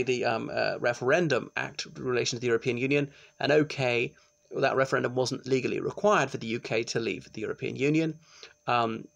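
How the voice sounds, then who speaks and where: A middle-aged man, giving an informal law lecture to his webcam in a small room and often pausing with ums. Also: mid-range voice, creaky. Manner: chatty, explanatory, with frequent ums and restarts. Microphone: laptop webcam, close framing.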